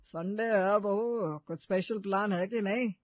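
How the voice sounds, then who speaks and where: A woman's voice: mid-range voice, a little slow, no room sound, highs cut off, natural voice. A folksy old father-in-law in a storybook family tale, voiced by a woman, musing as head of the house. The recording is dry and slightly dulled. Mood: genial.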